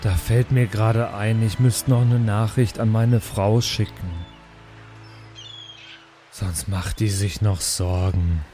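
A man playing Saint Peter as a laid-back old man with dry, deadpan humor. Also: fairly low pitch, slow pace, music in the background.

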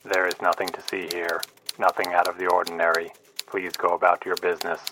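Woman acting deadpan official reporter, extremely low, flat monotone, radio play, noisy recording. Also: brisk. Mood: flat, robotic calm. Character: flat, deep-voiced official-sounding reporter denying anything is happening. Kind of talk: old-time radio parody acting. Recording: noisy line with typewriter clatter.

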